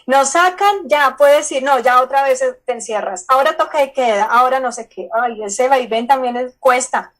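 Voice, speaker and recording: A woman psychologist chatting quickly on a video call, heard from a distant mic. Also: high voice, a bit bright. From Colombia. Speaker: psychologist, guest speaker. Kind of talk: casual chat on video call.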